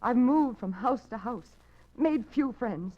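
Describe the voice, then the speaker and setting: A woman's voice: a little high, lively intonation, slow pace. An actress playing a frightened young woman who speaks anxiously and stammers in a 1940s radio drama, heard through a dull lo-fi broadcast recording. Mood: fearful.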